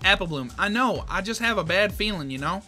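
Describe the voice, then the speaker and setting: Male reader doing an earnest country filly, high and bright. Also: lively intonation, fairly quick, faint music behind, exaggerated voice. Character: young farm filly pony, earnest and worried.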